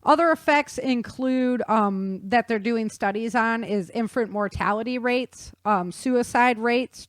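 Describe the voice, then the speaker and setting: A woman's voice: fairly high pitch, no room sound, studio quality. Chatty, folksy woman podcast host. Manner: informal, folksy, enthusiastic. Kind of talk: casual explainer chat.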